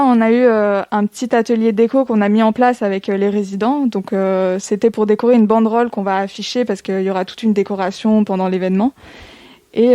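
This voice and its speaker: A woman's voice: medium pitch, dark tone. A woman aged twenty-one from eastern France, explaining things informally in an interview. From Bourg-en-Bresse, eastern France. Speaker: civic service volunteer.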